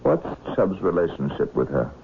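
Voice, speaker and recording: Low voiced radio drama inspector, terse polite questioning, lo-fi with a bit of hiss. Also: male voice, very animated, moderate pace, creaky, exaggerated voice. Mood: probing. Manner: terse, matter-of-fact questioning. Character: male police inspector, probing, dry, courteous but persistent. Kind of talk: 1970s radio drama acting. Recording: muffled lo-fi old radio broadcast, hiss.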